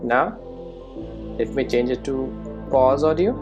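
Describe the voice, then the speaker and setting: A man giving an unscripted step-by-step software tutorial as an instructor, often checking that the listener follows with short tag questions. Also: mid-range voice, a little slow, lo-fi sound, over music.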